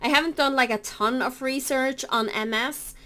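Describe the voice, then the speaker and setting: A woman with a Norwegian accent, a native Norwegian speaker talking in English, explaining things to camera in a halting way with many fillers and self-corrections. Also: sits high, sharp tone. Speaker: cross-stitch vlogger. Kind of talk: casual explanatory talk to camera.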